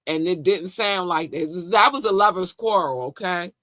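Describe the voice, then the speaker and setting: Male podcast host, Baltimore accent, slightly high, bright and forceful, casual slangy banter. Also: normal speed, dry recording, studio quality. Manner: animated, affectionate, slangy, shouting out listeners. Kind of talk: casual live-stream chat.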